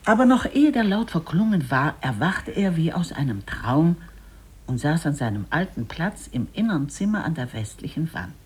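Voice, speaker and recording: A storyteller narrating a literary fairy tale in a deliberate, dramatic and expressive way. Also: medium pitch, breathy edge.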